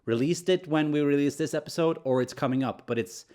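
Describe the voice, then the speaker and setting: A man's voice: on the high side, a little fast, a little mellow. A man co-hosting a podcast in casual chat, joking and playful, with frequent fillers. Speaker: podcast co-host.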